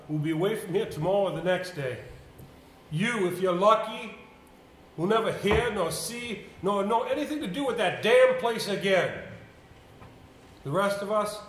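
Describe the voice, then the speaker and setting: A man in a live amateur stage play, playing a troubled, reluctant villager burdened by secrets, telling them haltingly. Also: high-pitched, lively intonation. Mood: troubled, bitter. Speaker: stage actor. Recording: echoey, noisy amateur theater stage recording.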